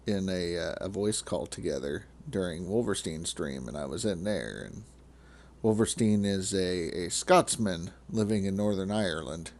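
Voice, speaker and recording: A man's voice: sits low, expressive, moderate pace, a little mellow. A man in a casual voice chat, making dry, teasing remarks.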